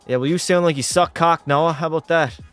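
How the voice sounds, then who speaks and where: A man's voice: fairly high pitch, a little fast, a bit bright, clean tone, faint music behind. A young man from Canada, casually chatting and swearing while live-streaming a hockey game and answering chat. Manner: loose, profane banter, reading chat aloud. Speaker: gaming streamer.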